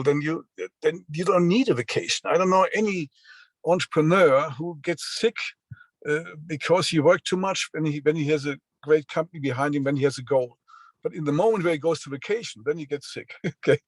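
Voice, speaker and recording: A man in his sixties giving a motivational business talk over a video call, halting often with fillers and restarts. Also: a little high, dry recording. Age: 60s. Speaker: seminar speaker.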